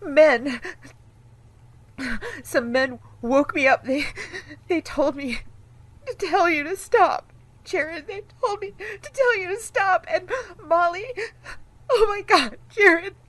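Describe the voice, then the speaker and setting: An actress plays a distraught, frightened woman in an audio drama. Her voice is extremely high and tearful, and the words come slowly, halting and repeated through sobs. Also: a bit bright, dry recording, full character voice. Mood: terrified, sobbing. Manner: halting, broken, repeating phrases. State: crying. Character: terrified, distraught woman bringing awful news to partner.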